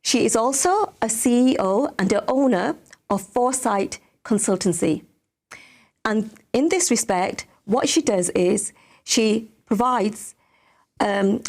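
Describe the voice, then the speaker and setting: A woman hosting an interview, her voice croaky from a cold, speaking politely and thanking her guest often. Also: mid-range voice, a little mellow. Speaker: host. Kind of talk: hosted interview.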